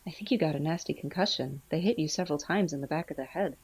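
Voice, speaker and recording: A woman playing a gentle, loyal magical healer with a husky voice in an audio drama. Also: sits low, fast. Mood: concerned, resolute.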